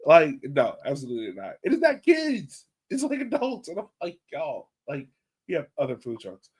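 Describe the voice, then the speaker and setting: A man's voice: on the high side, wildly swooping pitch, normal speed, breathy edge. A man hosting a podcast in casual chat, with an informal, slangy and emphatic way of talking. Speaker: podcast host reading listener questions.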